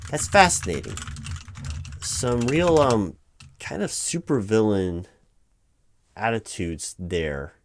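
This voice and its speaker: A man playing a distracted hiring manager in a comedic one-sided job interview, rambling haltingly and repeating himself. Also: lowish voice, expressive, slight background noise. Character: distracted male corporate hiring manager, hesitant, patient, mildly baffled. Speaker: roleplay performer as hiring manager. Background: computer keyboard typing.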